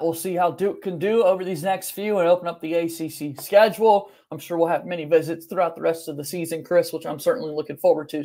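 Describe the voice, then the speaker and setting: A man's voice: on the high side, darkish tone. A young man hosting a sports podcast, speaking from a small room at home. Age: young adult. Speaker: podcast host.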